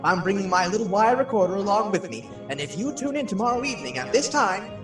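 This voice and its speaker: An actor playing a brash, excitable 1940s radio disc jockey, chatty and showy, full of teasing questions. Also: male voice, high voice, quick pace. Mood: teasing, excited. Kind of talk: old-time radio DJ patter, radio acting. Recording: roomy echo, distant, music behind.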